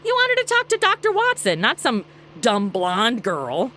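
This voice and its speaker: A woman's voice: fairly high pitch, huge pitch swings, a little slow, pushed and bright, a little hiss or hum, heavily acted. A woman playing a brash, flippant young woman from the future in a comedy audio drama, with breezy, sarcastic modern slang. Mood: casual, wry.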